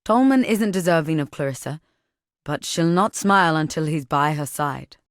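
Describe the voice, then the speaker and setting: Game role: solemn warrior matriarch, fairly deep female register, deliberate and formal, protective, very dry recording. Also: expressive, no echo. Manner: measured, grave, formal, deliberate phrasing. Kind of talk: video game character dialogue.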